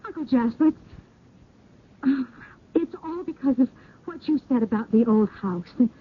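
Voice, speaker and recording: Anxious, high-voiced young wife. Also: female voice, lively intonation, unhurried, slightly rough, heavily acted. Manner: hesitant, faltering, breathless pleading. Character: anxious, timid young wife, fretful and devoted. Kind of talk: old-time radio drama acting. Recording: dull, narrow old radio recording, rumble.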